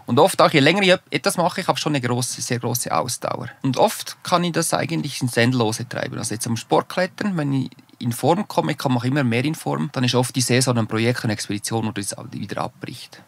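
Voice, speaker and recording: A man's voice: mid-range voice, a little fast, vocal fry, few pauses. A man in his forties with a Swiss German accent, a professional alpinist as guest, talking in careful standard German with restarts and tag questions. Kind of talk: casual interview talk.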